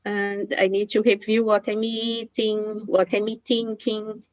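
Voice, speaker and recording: A woman from Brazil with a Brazilian accent, a native Portuguese speaker practising conversational English as a learner, halting and searching for words. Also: mid-range voice, level tone, dry recording, dull audio.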